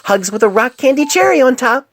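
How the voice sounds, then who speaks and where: Very high, hyper party pony. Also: female voice, lively intonation, a little fast. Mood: bubbly, gushing. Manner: gushing, rambling, childlike exaggeration. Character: hyper, bubbly young female party pony. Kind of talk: fan fiction audio story, letter read aloud. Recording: noisy amateur cell phone recording.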